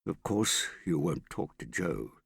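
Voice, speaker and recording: A man's voice: rumbling low, sing-song, slow pace, vocal fry, fairly bright tone, husky, no room sound, studio quality, exaggerated voice. A man voicing a vain, theatrical middle-aged father in an audiobook, wheedling and self-pitying with a pompous, affected way of talking. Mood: sulky, appealing. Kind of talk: audiobook character dialogue.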